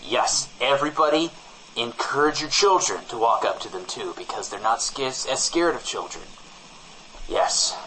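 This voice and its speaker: A man's voice: mid-range voice, moderate pace, noisy background, lightly acted. A man playing a breezy, overzealous small-town radio co-host, chatting in a glib, mock-earnest way on a comic audio show.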